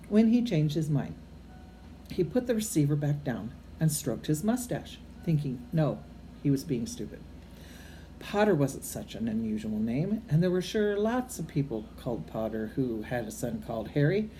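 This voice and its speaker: A woman's voice: low-pitched, low-quality recording. An older woman reading a storybook aloud to children like a grandmother, expressively and with a homely, unpolished delivery. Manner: expressive, occasionally stumbling over words and correcting herself. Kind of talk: read-aloud storytelling with informal asides to children. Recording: amateur recording with faint background noise.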